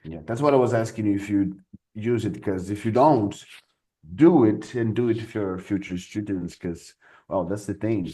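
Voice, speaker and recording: A man's voice: on the low side, normal speed, mellow, breathy edge. A man with a Brazilian accent hosting a casual podcast chat, playful, laughing often and stumbling over words. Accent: Brazilian Portuguese. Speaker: podcast host and English teacher.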